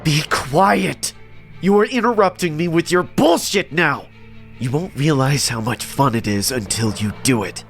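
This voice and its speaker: A man's voice: medium pitch, normal speed, hardly any pauses, soft background music, close to an everyday voice. A man voicing an unhinged serial killer, pleading and taunting in a storytelling narration. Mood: enraged. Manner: sobbing, pleading, then taunting. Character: unhinged male serial killer, sobbing then menacing.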